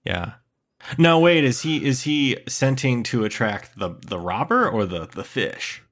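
A man's voice: mid-range voice, animated, a little slow, a bit bright. A thirty-year-old man co-hosting a casual chat, easygoing and joking as he builds on his friend's ideas.

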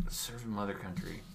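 A man's voice: fairly low pitch, creaky. A man co-hosting a podcast, joking and laughing often as he chats through a game. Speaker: podcast co-host. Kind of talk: casual chat while playing a game.

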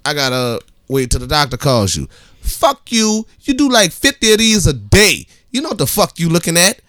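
A man's voice: on the high side, big pitch swings, moderate pace, fairly bright tone, dry recording, studio quality. A man co-hosting a podcast with profane comedic banter, ranting and acting out exchanges as he goes. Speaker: podcast co-host.

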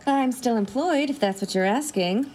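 A woman's voice: a little high, moderate pace, a little mellow, a little hiss or hum, close to an everyday voice. A woman in her thirties playing an anxious, self-doubting new schoolteacher with a wry edge, in a naturalistic audio drama. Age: 30s. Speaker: actor in an audio play.

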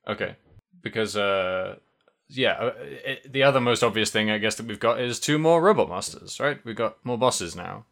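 A man's voice: fairly low pitch, expressive, gravelly, fairly bright tone. A man co-hosting a podcast, chatting casually and often restarting his sentences. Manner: halting, many restarts and fillers. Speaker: podcast co-host. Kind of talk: casual chat.

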